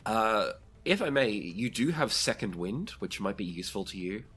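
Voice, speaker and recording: A man's voice: on the low side, big pitch swings, moderate pace, fairly bright tone, soft background music. A man running a tabletop roleplaying game as game master, narrating the action off the cuff and putting questions to the players.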